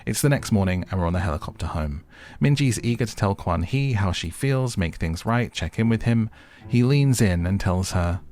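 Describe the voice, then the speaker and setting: A man's voice: low voice, a little mellow. A man narrating an opinionated show recap as host, with wry, exasperated commentary and music underneath.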